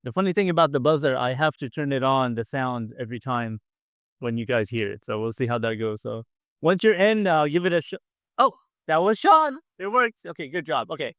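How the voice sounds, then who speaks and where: A man's voice: mid-range voice, animated, fairly quick, a bit bright, no room sound, studio quality. A man casually hosting a quiz game on a video call, encouraging and often repeating himself. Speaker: quiz show host.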